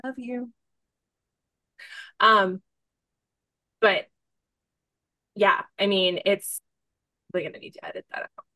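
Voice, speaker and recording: A woman hosting a podcast in casual conversation, effusive and informal, thinking out loud as she talks. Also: medium pitch, slow pace, pauses often, dry recording. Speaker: podcast host interviewing a guest.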